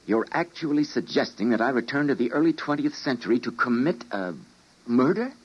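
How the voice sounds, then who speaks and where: An actor playing an earnest, principled doctor with a dramatic delivery in an old-time radio drama. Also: male voice, mid-range voice, animated, fairly quick, husky. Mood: appalled, protesting. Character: earnest, principled male doctor, troubled and indignant. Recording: muffled vintage radio drama recording.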